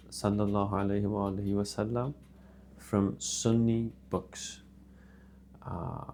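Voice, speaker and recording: A man's voice: fairly low pitch, vocal fry, darkish tone, a little hiss or hum. A man giving a casual religious monologue to camera. He speaks earnestly and hesitantly, and he adds reverent honorifics after sacred names. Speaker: religious video host.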